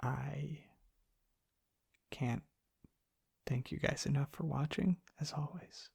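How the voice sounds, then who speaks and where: A man speaking in a soft, hushed, half-whispered ASMR ramble, breathy and gravelly, in unhurried halting phrases. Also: a little high. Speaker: ASMR content creator. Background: tapping and clicking sounds.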